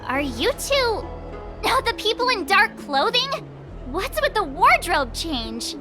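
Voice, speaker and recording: A woman's voice: very high-pitched, big pitch swings, takes it slowly, over music, exaggerated voice. A woman voicing a curious, childlike little girl in a video game. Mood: puzzled.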